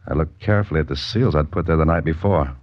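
An actor playing a cool, wisecracking amateur sleuth in a 1940s radio mystery, with a deadpan, clipped delivery. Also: male voice, very deep voice, fast talker. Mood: cool, pensive. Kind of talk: 1940s radio mystery acting, first-person narration. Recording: muffled old radio recording with music.

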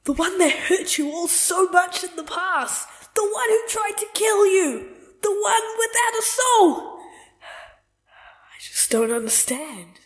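A woman playing a distraught, pleading character in a dramatic dub, halting and emotional. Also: very high voice, lively intonation, full character voice. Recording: echoey reverberant room.

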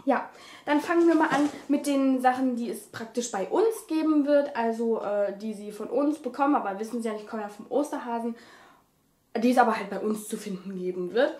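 High-pitched young woman, echoey room. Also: a little fast, a little mellow. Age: 20s. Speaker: family vlogger, mother. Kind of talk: casual vlog talk to camera. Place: small furnished living room, indoors.

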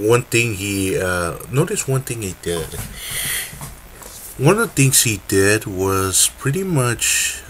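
A young man making a casual webcam announcement from a dim room, talking haltingly with many fillers and restarts. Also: lowish voice, a little hiss or hum. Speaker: video creator.

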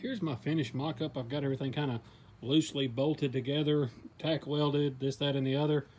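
A man's voice: mid-range voice, fairly even intonation, fairly quick, darkish tone, a little hiss or hum. Plain-spoken man explaining a welding build. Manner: plain, practical step-by-step explaining with fillers. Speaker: DIY welding channel host. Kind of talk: narrating a workshop build. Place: outdoors in a backyard workshop.

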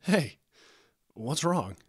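A man voicing a friendly, caring Pegasus stallion with a sweet, expressive manner. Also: medium pitch, normal speed, dry recording, clean studio sound, voice pushed up. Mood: cheerful.

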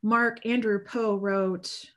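A woman's voice: mid-range voice, fairly even intonation, takes it slowly, a bit bright. A woman in her thirties, a priest, preaching a sermon from home over a video call in a casual, earnest way, with lots of fillers and restarts. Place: home room with bookshelves. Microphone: video call webcam.

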